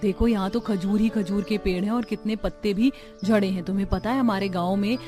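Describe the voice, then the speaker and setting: A woman's voice: medium pitch, steady tone, fairly quick, music in the background, lightly acted. Woman voicing an outspoken young village daughter-in-law. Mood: resourceful, earnest. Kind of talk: Hindi cartoon story dialogue.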